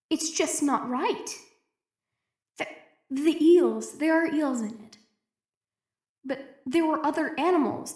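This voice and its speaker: Scared lone female researcher, played by a woman, high voice, slow halting delivery with stammers, audio drama log in an echoey room. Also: a little mellow. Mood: uneasy, scared. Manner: hesitant, stammering, anxious. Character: frightened, isolated young woman researcher keeping a log. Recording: echoey roomy recording.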